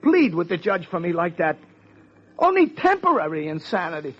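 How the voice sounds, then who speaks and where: A man's voice: sits high, wildly swooping pitch, normal speed, exaggerated voice. An actor playing an unhinged, pleading man in an old-time radio crime drama. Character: pleading, unhinged man claiming temporary insanity. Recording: muffled old radio recording.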